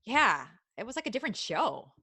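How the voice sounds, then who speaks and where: Bright-voiced, giggly woman podcast co-host. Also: a little high, big pitch swings, a little fast, breathy edge. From Detroit, USA. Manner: giggly, bawdy banter. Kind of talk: casual live podcast chat.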